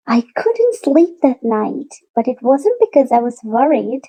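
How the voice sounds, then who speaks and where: A woman narrates a children's storybook in a high, mellow voice. She takes her time, stretching key words for emphasis. Her delivery is expressive and clearly aimed at young listeners. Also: low-quality recording. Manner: animated, deliberate storytelling with drawn-out emphasis. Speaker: storybook read-aloud narrator. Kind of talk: expressive children's picture book reading.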